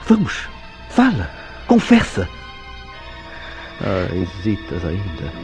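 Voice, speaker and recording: An actor playing a jealous, tormented lover in a radio soap opera, accusatory and declamatory, with formal diction and a Brazilian accent. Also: male voice, sits low, wildly swooping pitch, slow, a little mellow, heavily acted. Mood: pleading, insistent. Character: jealous, tormented male lover interrogating his beloved. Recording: radio drama with prominent background music.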